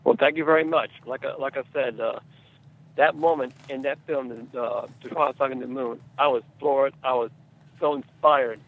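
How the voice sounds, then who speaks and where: Male screenwriter guest, halting delivery with fry, muffled low-fidelity recording. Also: fairly even intonation. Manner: halting, repeats words and phrases. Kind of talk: conversational interview answers.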